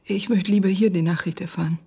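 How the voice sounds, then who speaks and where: A woman playing a shattered, stubborn mother in a radio drama, speaking haltingly in a husky voice that often drops near a whisper. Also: lowish voice, fairly even intonation, dark tone. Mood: numb insistence. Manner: halting, short numb sentences. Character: shattered mother told of her daughter's death, stubborn, disbelieving. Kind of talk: literary radio drama acting.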